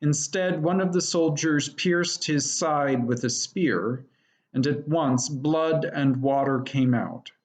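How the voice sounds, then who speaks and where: A man reading scripture aloud in a solemn, measured, deliberate manner. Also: a little high, a little mellow. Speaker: lay scripture reader.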